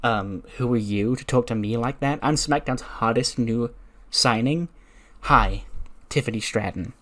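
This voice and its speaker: A man's voice: medium pitch, a little slow, fairly bright tone, natural voice. A man voicing a haughty, condescending young female wrestling diva in a spoken story. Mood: snooty. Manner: snooty, condescending.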